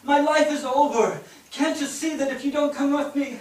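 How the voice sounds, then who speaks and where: A man's voice: extremely high pitch, steady tone, moderate pace, darkish tone, slight background noise. A man playing a desperate, broken nineteenth-century husband in a staged reading, pleading and anguished. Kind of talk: staged reading of a period drama. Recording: very echoey theatre, distant microphone.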